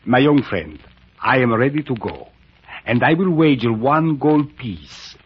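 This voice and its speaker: A man's voice: medium pitch, lively intonation, measured pace, breathy edge. An actor playing a sinister, silky, mockingly courteous cult priest in an old-time radio drama, drawing out his words with theatrical relish. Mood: amused, taunting. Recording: muffled vintage radio recording.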